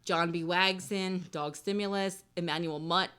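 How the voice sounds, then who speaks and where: Woman, slightly low pitch, casual tabletop game teaching, conversational. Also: fairly even intonation, measured pace, fairly bright tone, clear, resonant tone. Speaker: game designer teaching a card game.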